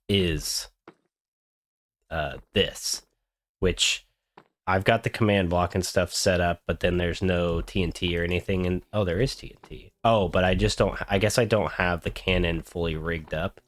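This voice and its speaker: Congested low-voiced man casually narrating gameplay. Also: fairly even intonation, unhurried. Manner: easygoing, rambling, talks while building. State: congested from a cold. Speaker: gaming channel host.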